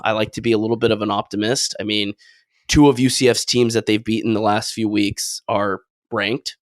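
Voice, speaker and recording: A man's voice: on the low side, dry recording. Upbeat man in a dry room. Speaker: podcast co-host. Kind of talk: casual sports podcast chat.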